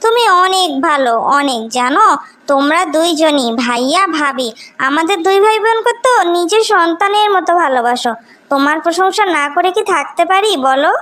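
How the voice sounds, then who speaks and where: A woman with a Bangladeshi accent voicing a sweet-talking, flattering young sister in a cartoon story, effusive and coaxing. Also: very high voice, moderate pace. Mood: gushing, flattering. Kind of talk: animated moral story dialogue.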